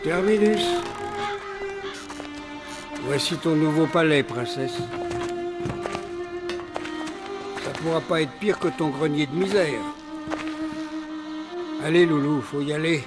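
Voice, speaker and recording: A man's voice: fairly high pitch, a little slow, pauses often, over loud music, lightly acted. A man voicing a grumbling but kind old hunchback in a French cartoon, with weary, slangy talk. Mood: gruff, resigned.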